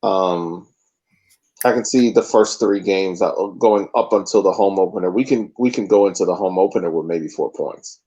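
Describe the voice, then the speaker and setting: A man with a low, mellow voice talks over a sports podcast, careful and hesitant, pausing often with "uh" and "um." The sound is dull and lo-fi, as if from a cheap mic. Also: slightly rough. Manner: hesitant, measured, many "uh" and "um" pauses. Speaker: podcast co-host. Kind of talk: casual sports podcast chat.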